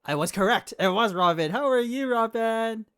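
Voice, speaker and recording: A woman's voice: on the high side, expressive, normal speed, smooth. A woman live streamer chatting casually with her viewers in a playful, affectionate way. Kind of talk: casual livestream chat with viewers.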